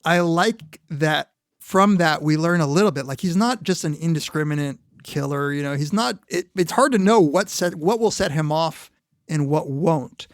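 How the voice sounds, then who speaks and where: A man co-hosting a podcast, chatting casually about a film, animated and quick to laugh. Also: fairly high pitch, no echo, studio quality. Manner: animated, laughs often, recounts scenes. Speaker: podcast co-host.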